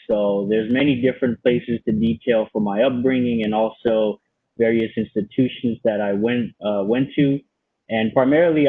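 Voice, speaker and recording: A man's voice: on the low side, no echo. A young man, a graduate student who grew up in Miami, introducing himself earnestly on a panel over a video call. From Bahamas and Haiti, raised in Miami. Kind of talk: prepared self-introduction. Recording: dry, phone-like video call audio.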